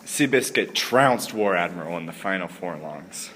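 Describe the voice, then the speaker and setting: Male narrator, lowish pitch, scripted storytelling, long reverb, noisy recording. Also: lively intonation, normal speed, fairly bright tone. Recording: echoey hall, clear background noise.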